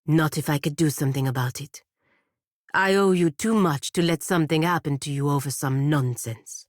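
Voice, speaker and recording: A proud and principled female warrior from a video game, acted out by a woman, low in pitch and recorded very dry. She phrases things formally and deliberately, with stern conviction and a dry, sardonic edge. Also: dry recording, natural voice. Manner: formal, measured phrasing with foreign exclamations. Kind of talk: video game character dialogue.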